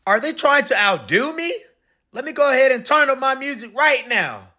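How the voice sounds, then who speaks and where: A man's voice: extremely high pitch, very animated, normal speed, bright, forceful tone, voice pushed up, full character voice. A man voicing a competitive, boastful ice cream man in a storybook reading.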